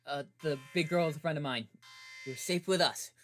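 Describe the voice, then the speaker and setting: A man playing an earnest, excitable young superhero with a reassuring manner in an audio drama. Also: on the high side, normal speed, slight background noise, natural voice. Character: eager, earnest young male superhero, easily starstruck.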